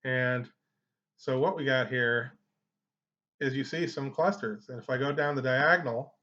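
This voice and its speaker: A man's voice: medium pitch, a little slow, bright, forceful tone. A man presenting an informal screen-share tutorial, explaining things in a conversational way. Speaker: genealogy tutorial host. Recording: dull lo-fi microphone sound.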